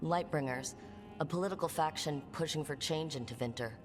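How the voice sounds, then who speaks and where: A woman's voice: low-pitched, brisk, natural voice. A woman playing a plain-spoken, lightly wry young rebel adventurer in a fantasy game. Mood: wry, wary. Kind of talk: natural game dialogue. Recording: roomy reverb, music underneath.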